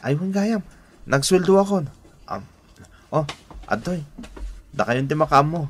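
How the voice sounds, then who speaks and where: A man's voice: on the high side, lively intonation, a little slow, a little hiss or hum. A man with an Ilocano Filipino accent playing a kind, dutiful young man in a radio drama. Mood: happy, affectionate.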